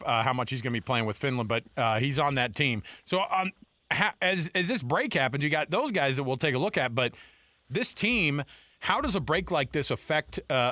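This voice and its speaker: A man hosts a sports radio show with a bright, forceful voice. His studio sound is dry and close. He chats and banters easily before he asks his guest a question. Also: medium pitch, no room sound. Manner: chatty, bantering. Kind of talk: casual sports talk.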